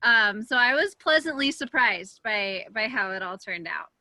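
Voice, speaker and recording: Very bright, crisp young woman, dry sound. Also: a little high, expressive, moderate pace, no room sound. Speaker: actress on a panel. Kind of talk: casual panel chat. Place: indoors, online video seminar.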